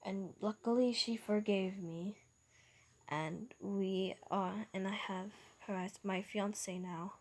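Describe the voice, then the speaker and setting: A woman's voice: mid-range voice, steady tone, slow pace. A woman doing a shy young man with a Norwegian accent. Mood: reflective. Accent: Norwegian (imitated). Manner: hesitant, quiet, stumbling. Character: nervous young man proposing, soft-spoken.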